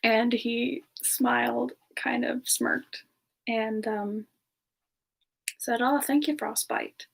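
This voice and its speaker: Siren ice spirit played by a woman: bashful, hesitant, breathy, slightly high, intimate ASMR roleplay delivery. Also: fairly even intonation, full character voice. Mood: fond, reminiscing. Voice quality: soft, breathy, slightly creaky. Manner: slow, hesitant, reminiscing with pauses. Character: bashful, soft-spoken female siren ice spirit, bar singer. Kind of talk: semi-ASMR in-character storytelling.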